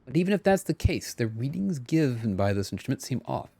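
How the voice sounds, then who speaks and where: A man voicing a fussy, long-winded engineer from a video game, officious and wordy with hesitant pauses. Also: medium pitch, very animated, creaky, dark tone, no echo. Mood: officious, earnest. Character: fussy, long-winded, bureaucratic engineer reading out repair plans.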